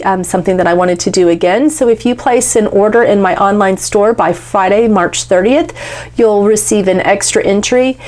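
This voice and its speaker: A woman's voice: medium pitch, a little mellow. A young woman with a congested, stuffy nose, chatting casually through a craft tutorial with lots of fillers. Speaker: craft demonstrator.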